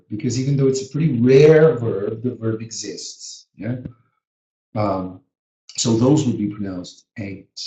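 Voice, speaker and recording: A man's voice: mid-range voice, mellow. Brazilian man teaching pronunciation, echoey. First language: Portuguese. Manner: earnest, emphatic, repeats words to drill pronunciation. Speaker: teacher educator. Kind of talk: live webinar lesson. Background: a small child heard now and then.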